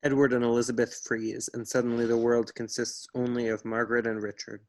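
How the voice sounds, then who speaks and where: A man's voice: medium pitch, little pitch movement, brisk, darkish tone. Man, mid-range voice, scripted stage-direction narration, play reading. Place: remote video-call staged reading.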